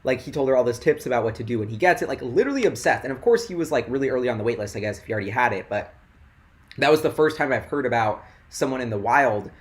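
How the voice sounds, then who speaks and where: A young man chats loosely on a livestream, tumbling from one excited thought to the next with filler words everywhere. His voice sits at an ordinary middle pitch and is wearing thin and hoarse. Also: quick pace. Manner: rambling and excitable, heavy "like" filler. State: voice going hoarse. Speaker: investing livestream host. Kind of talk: casual livestream chat.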